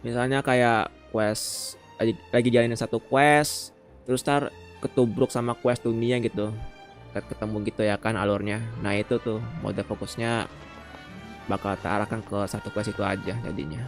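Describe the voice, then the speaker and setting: A man's voice: lowish voice, steady tone, fairly quick, over music. A man with an Indonesian accent giving casual, slangy gameplay commentary as a streamer. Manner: slangy, informal. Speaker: game streamer.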